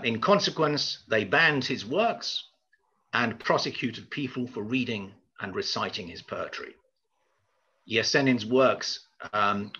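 An older man lectures in a formal, measured way, with hesitant pauses. His voice is husky but bright and forceful. It comes over a slightly dull video call. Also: on the low side. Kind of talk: prepared lecture.

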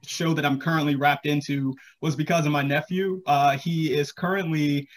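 American man, 30s, slightly high voice, casual podcast-creator guest on a panel. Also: steady tone, normal speed. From Charlotte, North Carolina, US. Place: home room, indoors, video call.

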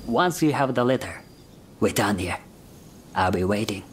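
An actor playing a wry, indifferent mercenary informant in a video game, talking in an offhand, conspiratorial way. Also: male voice, fairly low pitch, expressive, normal speed, slight background noise, close to an everyday voice. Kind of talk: video game cutscene acting.

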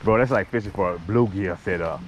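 A middle-aged man with a Southern US accent, talking casually and excitedly to his fishing vlog viewers, often repeating himself. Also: low voice, fairly quick, crowd noise. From Arkansas and Louisiana, United States. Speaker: fishing channel host. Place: outdoors by a neighborhood pond. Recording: noisy outdoor recording.

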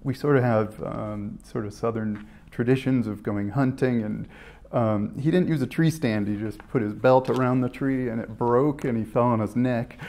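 A man, a physician on a panel, explaining in a halting way with many restarts, in a conference room. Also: medium pitch, steady tone, creaky, a little mellow.

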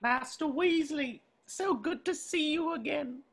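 A woman's voice: high voice, unhurried, gravelly, a crowd in the background. A woman voicing a small, devoted male house-elf who speaks earnestly of himself in the third person. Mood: fond. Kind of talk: amateur table read of a film screenplay. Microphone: video call. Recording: faint noise on a video call.

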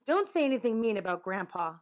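A woman's voice: fairly high pitch, very animated, fairly quick. A woman voicing a blunt, skeptical and protective young boy in a children's story, talking argumentatively. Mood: defensive.

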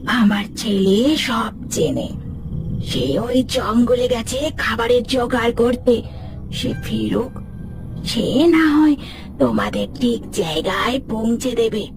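Creaky, sly old crone. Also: female voice, on the high side, expressive, music in the background. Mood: coaxing, sly. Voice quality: creaky, cackling old-woman voice. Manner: slow, drawn-out, taunting. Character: sly, menacing old female ghost crone in ruined bungalow. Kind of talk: cartoon horror story acting.

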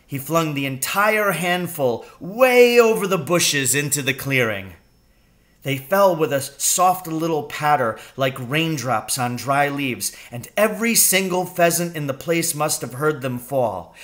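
A middle-aged man reading a children's novel aloud in a measured, suspenseful storytelling manner. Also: fairly high pitch, fairly bright tone. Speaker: storybook reader. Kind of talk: children's novel read aloud, first-person narration. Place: room at home with wooden ceiling.

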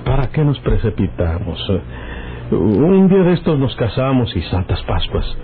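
Through a thin, faintly noisy old radio line comes a rural northern Mexican man. He is a smooth-talking, domineering outlaw with folksy rustic speech, in a mid-range voice, in a radio drama. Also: medium pitch, sing-song, unhurried, phone-quality sound. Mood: evasive, placating.

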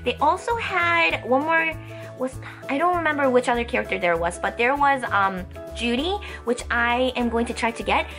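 A young woman chatting casually and excitably as a haul vlog host, slightly stuffed up from a cold. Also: high-pitched, moderate pace, faint music behind. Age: young adult. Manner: bubbly, excitable. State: stuffy, getting over a cold. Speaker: host of a toy haul channel. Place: indoor room lined with plush toys.

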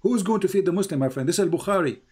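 A man's voice: lively intonation, very fast, darkish tone, slightly rough. A man whose first language is Arabic, hosting and debating a caller, emphatic and repeating phrases for stress. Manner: emphatic, rises high when pressing questions. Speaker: host.

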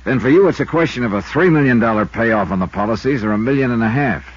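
An actor playing a tough, shrewd insurance investigator in a dry, hardboiled manner in a 1950s radio drama. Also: male voice, medium pitch, lively intonation, breathy edge. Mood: cool, probing. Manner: dry, hardboiled, deliberate phrasing. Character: tough, shrewd male insurance investigator narrating his case. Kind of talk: 1950s radio detective drama narration and dialogue. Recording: muffled 1950s radio recording.

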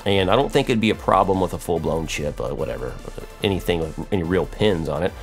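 A man's voice: sits low, expressive, brisk, creaky, soft background music. A middle-aged American man giving casual, off-the-cuff how-to commentary in a wry, joking, self-mocking way. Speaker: electronics hobbyist, video host.

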